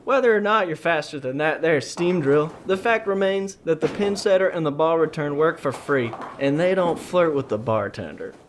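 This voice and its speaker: Man playing a cocky, higher-voiced teenage bowling champ. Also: animated, normal speed, few pauses, a little hiss or hum, close to an everyday voice. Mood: dismissive. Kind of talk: radio play acting.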